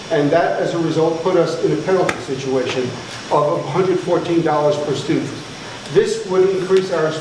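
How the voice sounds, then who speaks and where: A man explaining budget figures at a town meeting in a steady, explanatory way. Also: a little high. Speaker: school finance official. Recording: very echoey hall, far from mic, noise.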